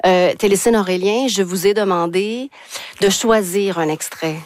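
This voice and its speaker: A woman's voice: medium pitch, moderate pace, darkish tone, dry recording. Quebec french woman doing a book interview, gushing and emphatic, pretty dry close sound. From Quebec, Canada. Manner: effusive, emphatic. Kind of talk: literary interview conversation.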